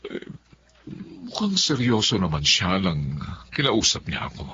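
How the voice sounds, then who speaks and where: A man's voice: low-pitched, huge pitch swings, unhurried, bright, forceful tone, breathy edge, lo-fi sound, exaggerated voice. An actor playing an earnest Filipino father in a radio drama. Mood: earnest, hesitant.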